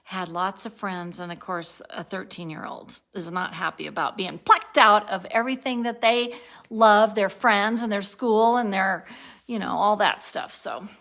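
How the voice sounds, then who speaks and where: Woman, 60s, unhurried video-diary chat, bright tone, worn out, self-correcting and giggly. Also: mid-range voice, fairly even intonation. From born in Turkey, raised abroad, lives in Colorado. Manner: rambling, self-correcting, laughs at herself. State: tired, a little spacey. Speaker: vlogger. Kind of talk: casual daily video diary.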